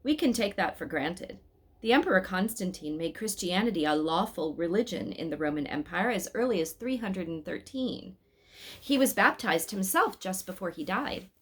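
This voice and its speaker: A woman's voice: mid-range voice, breathy edge. A woman voicing a learned male angel in a storybook, with a didactic, matter-of-fact manner. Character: learned male angel, precise keeper of dates.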